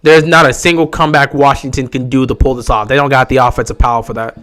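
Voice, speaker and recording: A young man with a sore, hoarse voice, giving casual live commentary on a football game he is streaming, resigned and frustrated. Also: mid-range voice, fairly even intonation, fast talker, fairly bright tone. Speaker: livestream host.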